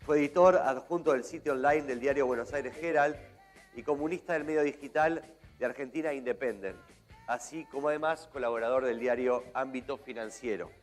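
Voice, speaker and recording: A man introducing a conference speaker, reading a biography in a measured, slightly halting way. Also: mid-range voice, soft background music. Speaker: event host.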